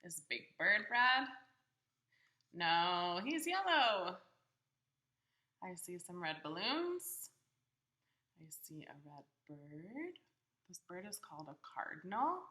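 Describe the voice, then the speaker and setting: A woman reading a picture book aloud to young children, asking them questions in simple, expressive phrases. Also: lowish voice, slow pace, pauses often. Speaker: children's storybook reader.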